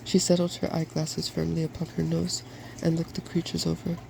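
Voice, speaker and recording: A soft-spoken man reading a fairy tale as an ASMR storyteller. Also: a little high, completely flat delivery, fairly quick, creaky, slight background noise, poor audio.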